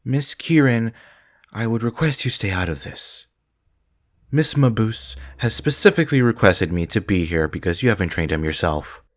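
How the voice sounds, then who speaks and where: Man playing a stern, low-voiced vampire swordmaster. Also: animated, moderate pace, natural voice. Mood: cold, formal. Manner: curt, commanding, formal. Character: stern, relentless male vampire swordmaster and trainer. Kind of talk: dramatic audio roleplay acting.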